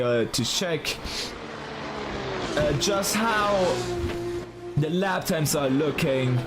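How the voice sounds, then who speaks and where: A man with a raspy voice commentating a sim racing league, talking in halting runs with lots of fillers and restarts. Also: on the high side. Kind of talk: live race commentary.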